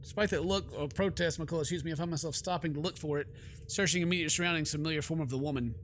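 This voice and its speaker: A man's voice: a little high, fast, fairly bright tone, a little hiss or hum. A man doing let's play narration of a horror visual novel, with playful slang and joking asides.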